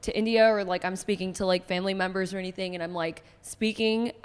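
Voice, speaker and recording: A woman's voice: medium pitch, steady tone, fast talker, clean tone, in a large hall. A woman from Dubai hosting a casual podcast, talking in a chatty way full of "like". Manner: chatty, lots of "like". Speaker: podcast host.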